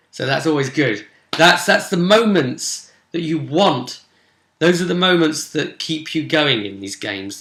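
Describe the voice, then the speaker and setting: Casting: male game master for casual session recaps, enthusiastic and rambling, sharp bright delivery, audibly echoey room. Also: mid-range voice, gravelly. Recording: roomy echo.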